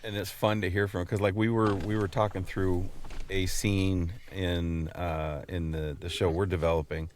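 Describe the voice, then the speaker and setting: A man co-hosting a podcast, chatting casually in a measured, explanatory way. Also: deep voice, little pitch movement, a little mellow, a little hiss or hum. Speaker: podcast co-host. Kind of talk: casual chat.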